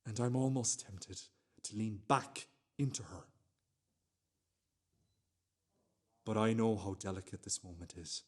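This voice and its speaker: An actor playing a nervy, self-justifying, sweary man in a radio play monologue, confiding in a halting, repetitive, conversational way with a husky voice. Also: on the low side, darkish tone. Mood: hushed, careful.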